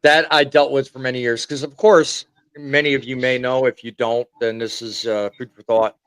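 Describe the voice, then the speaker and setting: A man hosting a live casual panel chat, a former truck driver telling stories with animated delivery. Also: medium pitch, normal speed, smooth, no echo.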